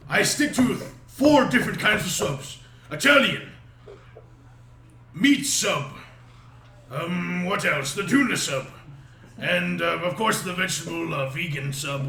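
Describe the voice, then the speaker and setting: Young male player in character, halting delivery, bright tone, echoey room. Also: high voice, animated. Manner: hesitant, halting with fillers. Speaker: player voicing his character. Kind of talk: in-character tabletop roleplay. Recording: echoey room with background noise.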